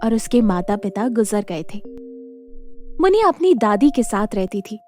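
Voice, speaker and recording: A woman's voice: mid-range voice, big pitch swings, measured pace, a little mellow, faint music behind. A woman narrating a scripted children's moral story as the storyteller.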